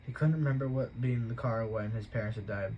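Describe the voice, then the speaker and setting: Man reading aloud, mid-pitched. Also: fast talker, lo-fi sound, slight background noise. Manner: steady reading with occasional stumbles and self-corrections. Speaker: audiobook reader.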